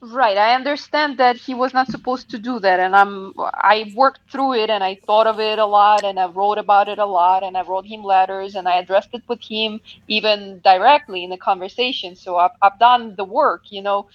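A woman's voice: medium pitch, moderate pace, hardly any pauses, lo-fi sound. A female caller explaining herself hesitantly and a little defensively to a host. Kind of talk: call-in conversation.

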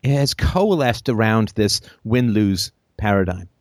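A man hosting a call-in show, talking in a lively, emphatic way and repeating phrases for effect. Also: on the low side, takes it slowly, dry recording, clean studio sound.

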